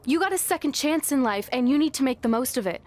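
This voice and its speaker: A young woman wrestler from a video game story. Her voice sits a little high. She talks like a loyal friend, sarcastic and encouraging, in scripted cutscene dialogue. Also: expressive, fast, a little mellow.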